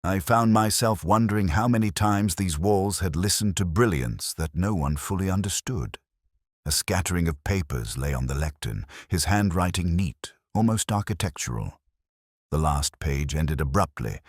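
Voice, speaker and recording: A man reading a literary detective story in the first person, with measured, reflective, melancholy phrasing. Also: deep voice, no room sound, clean studio sound. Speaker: narrator of a detective mystery story.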